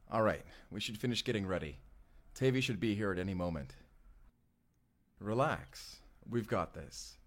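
A man's voice: fairly low pitch, natural voice. A man playing an easygoing, self-deprecating young regular guy in an audio roleplay, casual and conversational. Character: easygoing regular young guy, breezily confident time traveler.

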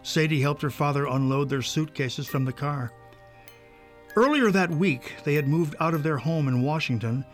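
A man's voice: a little high, normal speed, faint music behind. A man narrating a scripted children's story.

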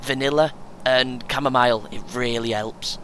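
An actor playing a chatty, self-important young British amateur runner in an audio play, earnest and keen, chuckling often. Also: male voice, steady tone, moderate pace, a bit bright, lightly acted, rough recording. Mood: advising, keen. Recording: faint vehicle noise behind. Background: vehicle rumble, coach ambience.

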